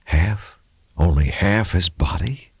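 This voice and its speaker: Grieving doctor played by male reader, controlled, deliberate, deep and creaky, audiobook drama. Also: dry recording, clean studio sound, full character voice. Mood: pained, insistent. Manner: restrained, deliberate. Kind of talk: audiobook character acting.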